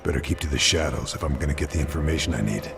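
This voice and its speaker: An actor playing a gruff, stoic American army lieutenant in a video game, terse and understated, with a low gravelly voice. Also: male voice, racing, over loud music. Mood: muttering, focused. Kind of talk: video game cutscene acting.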